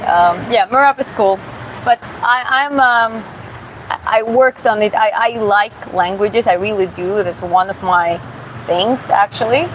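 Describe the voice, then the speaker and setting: A woman from Georgia who streams and boxes, reading viewer comments aloud in a live Q&A and answering casually, often pausing and restarting to find her words. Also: medium pitch. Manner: casual, halting, searches for words with many restarts. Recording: steady background hum.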